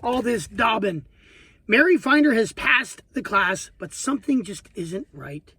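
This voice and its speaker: Playful male storyteller, slightly husky. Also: high voice, expressive, measured pace, bright, forceful tone. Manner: playful, chatty, asks listeners questions. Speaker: children's book reader. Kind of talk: animated read-aloud storytelling with asides to young children.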